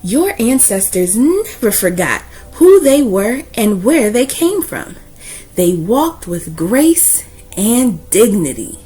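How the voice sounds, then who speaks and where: A woman reading a children's picture book aloud as host, in a deliberate, emphatic way with dramatic pauses. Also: medium pitch, sing-song, dark tone, slightly rough.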